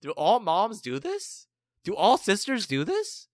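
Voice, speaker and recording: Animated, teasing podcast co-host. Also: mid-range voice, a little slow, no room sound, clean studio sound. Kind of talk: casual chat.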